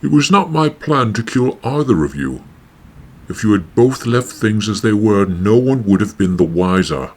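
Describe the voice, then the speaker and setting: Noir radio villain for a male actor: calculating husband, lowish husky voice, very expressive, condescending. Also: normal speed. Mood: smug menace. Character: cold, smug, menacing husband with a gun. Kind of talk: noir radio play acting.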